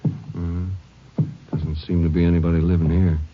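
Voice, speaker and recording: Plainspoken lawman partner, 1950s radio drama, low pitch, agreeable, muffled vintage sound. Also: level tone, moderate pace. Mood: watchful.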